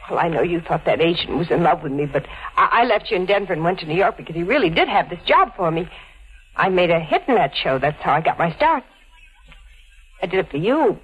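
An actress playing a brazen, seductive stage star in a 1940s radio thriller, theatrical and coaxing. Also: female voice, on the low side, sing-song, fairly quick, slightly rough, highs cut off. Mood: pleading, seductive. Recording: old radio drama recording.

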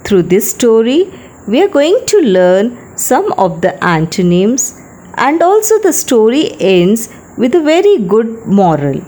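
A woman with an Indian accent narrating a scripted bedtime story for children in a deliberate, teacherly manner. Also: fairly high pitch, big pitch swings, mellow.

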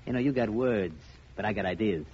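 An actor playing a brutish, ill-tempered racketeer in a 1950s radio crime drama, with gruff, bossy, slangy tough talk. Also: male voice, lowish voice, brisk, darkish tone, lightly acted. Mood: smug, menacing. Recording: old muffled radio recording, light hiss.

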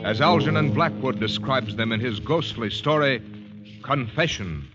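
Formal old-radio announcer over loud music. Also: male voice, medium pitch, animated. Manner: measured, dramatic, formal. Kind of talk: mid-century American radio announcing, scripted. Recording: dull vintage radio, loud music behind.

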